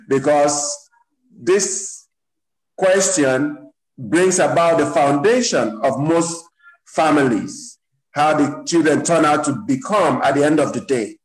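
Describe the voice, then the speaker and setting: A man moderating a panel discussion on a video call, politely putting questions to guests by name. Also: fairly high pitch. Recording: echoey room over a video call.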